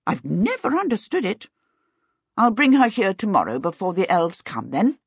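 A woman's voice: mid-range voice, very animated, normal speed, breathy edge, dry recording, muffled recording, full character voice. A woman voicing a bossy, rich older aunt who gives commands in an audiobook. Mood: imperious. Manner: commanding, decreeing. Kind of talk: audiobook character voice.

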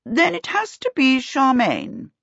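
A woman's voice: high voice, wildly swooping pitch, takes it slowly, creaky, a bit bright, no room sound, lo-fi sound, pitched above the performer's own voice, full character voice. A woman voicing a bossy, rich older aunt who gives commands in an audiobook. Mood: imperious. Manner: commanding, decreeing. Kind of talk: audiobook character voice.

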